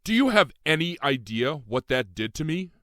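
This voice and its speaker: A man's voice: a little high, very animated, moderate pace, dry recording, studio quality, pitched above the performer's own voice. A man voicing an anguished, overwhelmed young man in emotional audiobook dialogue. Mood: anguished, shouting. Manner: emotional, shouting outbursts.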